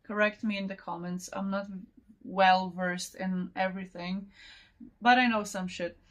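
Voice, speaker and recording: A young woman, not a native English speaker, talks through an oracle card reading at an unhurried pace. Her pitch sits in the middle range, and she wanders from thought to thought with frequent soft laughter. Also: fairly even intonation. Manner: slow, meandering, laughs often between thoughts. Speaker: tarot and oracle card reader. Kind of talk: casual spiritual reading to camera.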